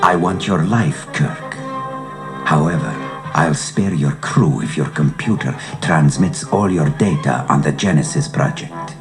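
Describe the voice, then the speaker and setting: Gravelly, very deep vengeful villain. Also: male voice. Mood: cold, vengeful. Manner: slow, menacing, imperious. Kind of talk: read-along audio adventure acting. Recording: cassette transfer with music underneath.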